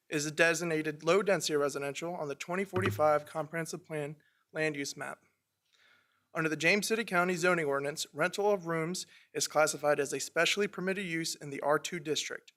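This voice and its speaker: Man on planning staff, formal measured report, fairly high-pitched voice. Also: fairly bright tone. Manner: measured, reads from prepared text.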